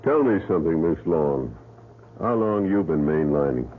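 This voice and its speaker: A man's voice: extremely low pitch, animated, normal speed. An actor playing a skeptical male police lieutenant who talks in a blunt, dry, doubting way, in a radio drama. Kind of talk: 1970s radio drama acting. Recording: tinny old radio broadcast with faint noise.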